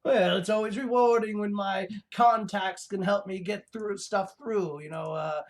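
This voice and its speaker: A performer voicing a deluded mad-scientist villain who calls himself a genius, rambling comically and haltingly as a show host, prone to cackles. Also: mid-range voice, natural voice. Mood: scheming, smug. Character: gleefully deluded mad-scientist villain, self-proclaimed genius bent on revenge. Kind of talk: rambling comic in-character monologue.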